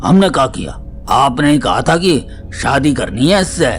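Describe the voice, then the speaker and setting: Worried dad role, male actor: middle-aged, mid-pitched, beseeching and uneasy, radio-play style. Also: very animated, normal speed, natural voice. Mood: pleading, bewildered. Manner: pleading, anxious, bewildered. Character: frightened, pleading father of a grown daughter. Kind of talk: Hindi audio drama acting. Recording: music bed under the voice.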